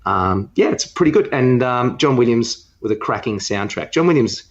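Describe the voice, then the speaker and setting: A man's voice: mid-range voice, expressive, normal speed, dull audio. A man co-hosting a podcast in casual, rambling chat, giving his opinions freely. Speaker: podcast co-host. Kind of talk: casual film review chat.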